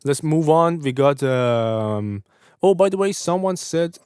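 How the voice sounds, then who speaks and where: A man's voice: a little high, animated, slow, a little mellow, clean tone, no room sound. A young man hosting a music review livestream, giving casual mixing feedback, swearing freely and stuttering now and then. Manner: laid-back, swears casually; stutters at times.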